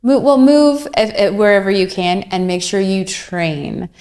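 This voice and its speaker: A woman's voice: mid-range voice, lively intonation, unhurried, darkish tone. A woman, chatting as a podcast guest, upbeat and full of ums. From Canada. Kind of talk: casual podcast chat.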